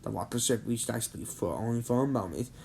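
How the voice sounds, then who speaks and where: A woman's voice: extremely low pitch, a little fast, creaky, darkish tone, breathy edge. A woman reading a children's storybook aloud, now and then stumbling over words. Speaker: storybook reader.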